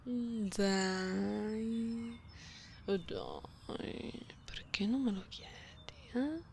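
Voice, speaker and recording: Lady acting as a soft teasing catgirl, asmr roleplay, slow n breathy w some creak, often whispery, bit of background noise. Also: mid-range voice, big pitch swings, heavily acted. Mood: teasing, coaxing. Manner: soothing, teasing, lingering. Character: affectionate, bored, playful female catgirl.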